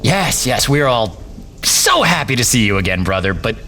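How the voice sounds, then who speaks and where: A man's voice: mid-range voice, sing-song, normal speed, sharp tone. A man playing a sarcastic, impatient, resentful younger brother in a fantasy audio drama, with a petulant manner. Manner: sardonic, petulant. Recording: voice over background music.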